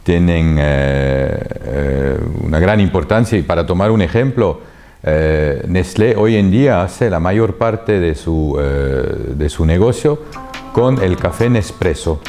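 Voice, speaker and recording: Low, mellow-voiced man speaking haltingly. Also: lively intonation, faint music behind. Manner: halting, many repeated words and pauses. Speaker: interviewee. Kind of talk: thoughtful conversational answer.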